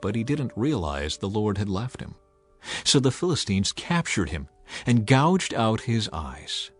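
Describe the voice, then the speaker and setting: A man reading a dramatized Bible as narrator, with measured, storytelling delivery. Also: lowish voice, sing-song, no room sound, soft background music. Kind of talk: dramatized scripture narration, scripted.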